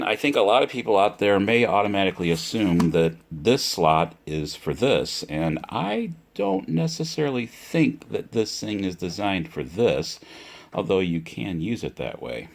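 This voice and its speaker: Man, deep voice, unhurried pace, deliberate delivery, casual product review, repeats for emphasis. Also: lively intonation, vocal fry. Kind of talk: casual unscripted product demonstration.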